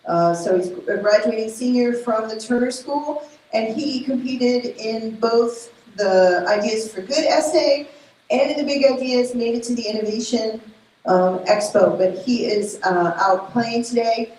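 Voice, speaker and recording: A woman with a Spanish accent speaking at a live event, presenting programs and awards in an informal, halting way with many fillers and self-corrections. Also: a little high, a little mellow. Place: large event hall. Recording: very echoey hall, faint background noise.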